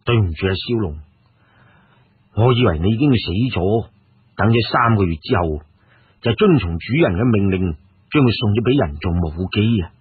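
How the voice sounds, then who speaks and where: A man's voice: lowish voice, lively intonation, normal speed, pauses often, slightly rough, no echo, voice pushed down. A man voicing an apologetic older warrior in a storybook, subdued and placating. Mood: regretful, placating. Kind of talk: storybook character dialogue. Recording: muffled phone-like radio sound.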